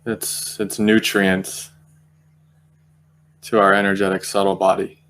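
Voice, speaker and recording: Low, very even-toned man guiding meditation. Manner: gentle, slow, meandering with long pauses. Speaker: guided meditation teacher. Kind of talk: guided meditation, unscripted spiritual talk.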